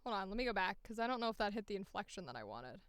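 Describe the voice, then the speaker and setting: A woman with a bright, crisp voice pitched fairly high talks casually on a livestream. She is playful and swears freely, often half talking to herself. The sound is close and very dry, as on a studio microphone. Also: very animated, racing, no room sound. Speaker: streamer and voice actor. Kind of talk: casual livestream chat while editing audition takes.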